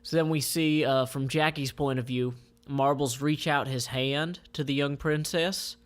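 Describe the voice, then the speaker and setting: Mid-pitched man, halting casual read-aloud. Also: fairly even intonation. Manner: halting, improvised phrasing. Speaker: comic creator reading his comic aloud. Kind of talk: informal descriptive read-aloud of comic panels.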